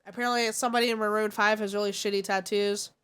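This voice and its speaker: A woman's voice: mid-range voice, level tone, fast, a bit bright, clear, resonant tone. A congested woman streamer chatting casually and giving blunt, opinionated takes. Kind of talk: casual live-stream chat.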